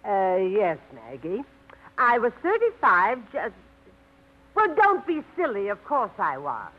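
Fussy prim small-town wife, swoopy pitch, old radio play. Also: female voice, huge pitch swings, slow pace, heavily acted. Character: prim gossipy middle-aged woman librarian's wife. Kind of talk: 1950s radio acting. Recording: tinny old radio broadcast.

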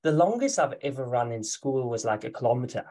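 A man's voice: medium pitch, big pitch swings, brisk, warm tone. German-accented man, hesitant guest. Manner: hesitant, many fillers and restarts, friendly. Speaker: guest, ultra runner. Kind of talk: podcast interview.

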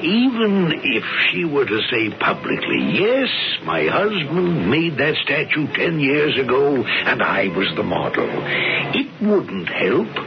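Measured literary storyteller: unhurried, emphatic, probing, highly expressive intonation, seventies radio drama. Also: male voice, vocal fry, noisy background, full character voice. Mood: earnest persuasion. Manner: deliberate, emphatic, oratorical. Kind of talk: 1970s radio drama acting. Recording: dull radio broadcast, music behind.

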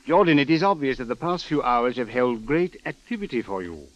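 A man's voice: medium pitch, big pitch swings, a little fast, close to an everyday voice. A man playing a formal, exasperated Egyptian police captain in a 1940s radio drama, using stiff, precise phrasing. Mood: grave, measured. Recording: dull old radio broadcast.

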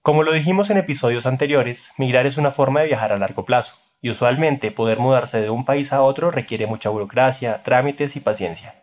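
Calm male podcast narrator. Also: medium pitch. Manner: calm, measured presenter reading. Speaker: podcast host. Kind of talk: scripted narration.

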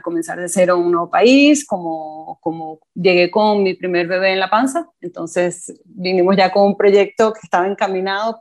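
A woman in her thirties from Venezuela with a Venezuelan accent, a podcast guest talking warmly and enthusiastically, with frequent hesitations. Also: on the low side. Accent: Venezuelan Spanish. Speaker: podcast guest, ice cream entrepreneur. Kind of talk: casual interview chat.

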